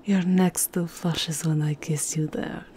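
A woman playing a seductive, aristocratic vampire countess in an intimate ASMR roleplay, with a breathy, husky voice that often drops to a whisper. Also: low voice, little pitch movement, warm tone, slight background noise. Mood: tender, languid. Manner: slow, sensual, unhurried murmuring.

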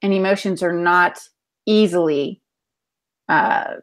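A woman's voice: lowish voice, takes it slowly. A middle-aged woman chatting casually and emphatically as a panelist on a video call. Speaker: panelist reading chat questions. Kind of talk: casual chat. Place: indoor home room, on a video call. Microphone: earbud headset.

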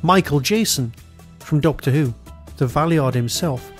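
A man's voice: fairly high pitch, a little slow, soft background music. A man hosting a podcast, delivering a review as a monologue and often answering his own rhetorical questions.

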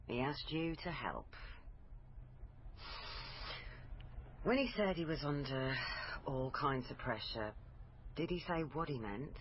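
An actress with a British accent playing a probing, authoritative police liaison officer in a naturalistic radio drama. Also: female voice, rumbling low, expressive, moderate pace, muffled recording, noisy background. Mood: stern. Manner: pointed, interrogating. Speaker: actor in a radio crime drama.

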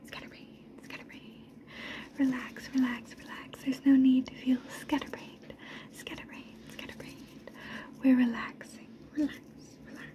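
A woman whispers close and soft, with a mellow, dark tone that sits high in her register. She repeats single words over and over in a slow, gentle rhythm. Her manner is playful and soothing. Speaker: ASMR creator. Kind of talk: ASMR trigger words. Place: indoors at home.

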